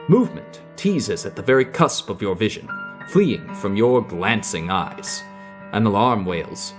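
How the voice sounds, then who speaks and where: A man playing a solemn, theatrical narrator introducing a science fiction audio drama, ominous in tone. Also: medium pitch. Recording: music under the voice.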